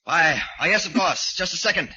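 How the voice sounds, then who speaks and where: Crazed killer sculptor, brooding theatrical old radio style, sharp intense voice swinging high and low. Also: male voice, very animated, moderate pace, a little hiss or hum. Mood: feigned politeness. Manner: theatrical, brooding soliloquies, feigned politeness to others. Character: deranged male sculptor murderer obsessed with playing Death. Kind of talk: 1940s radio acting. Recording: muffled 1940s radio recording.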